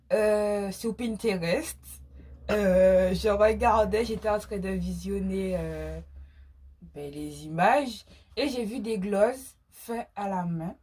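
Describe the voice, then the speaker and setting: A young woman from Martinique speaks at a middle pitch. She co-hosts an informal question-and-answer vlog, talking to viewers as the founder of a small brand. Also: warm tone, breathy edge. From French Caribbean (Martinique). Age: young adult. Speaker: small cosmetics brand founder and video co-host.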